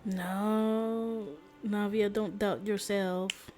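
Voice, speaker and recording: A woman livestreams a video game. She talks slowly and casually, chatting and reacting with disbelief. Game music plays behind her voice. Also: mid-range voice, little pitch movement. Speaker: game streamer.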